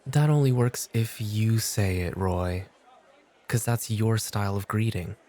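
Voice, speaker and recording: A man playing a cool, unhurried club host in an ASMR roleplay, speaking with firm, dry, measured teasing. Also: fairly low pitch, warm tone, a faint crowd, lightly acted.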